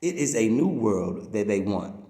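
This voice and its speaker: A man with a fairly low voice reads a written message aloud like a preacher. He leans on key words and stretches them out for emphasis. The recording is roomy, with a clear echo around his voice. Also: sing-song, moderate pace, dark tone. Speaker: reader reading a text aloud. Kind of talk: read-aloud of a religious-political message, preaching delivery.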